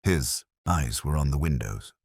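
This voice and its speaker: Male narrator, extremely low voice, expressive rise and fall, deliberate brooding mystery read, dry close recording. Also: vocal fry, dry recording. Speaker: narrator, speaking as a detective. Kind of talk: scripted first-person literary mystery narration.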